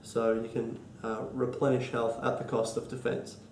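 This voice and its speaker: Aussie guy with a cold explaining game rules, stuffy and ummy, mellow voice, roomy echo. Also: medium pitch, flat delivery, slight background noise. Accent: Australian. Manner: halting, many ums and restarts. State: congested from a cold. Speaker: board game designer demonstrating rules. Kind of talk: casual tabletop rules walkthrough.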